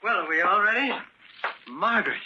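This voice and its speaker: A meek, high-voiced young husband. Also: male voice, animated, moderate pace, lo-fi sound. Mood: affectionate. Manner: hesitant, deferential. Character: meek young husband cowed by his father. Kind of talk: vintage radio drama acting. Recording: crackly band-limited old radio recording.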